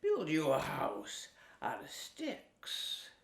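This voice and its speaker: A woman's voice: deep voice, wildly swooping pitch, drawn-out words, vocal fry, roomy sound, full character voice. Lady doing the big bad wolf, sneaky and drawn out, swoops up and down. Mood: coaxing. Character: sly big bad male wolf, drawling and loud.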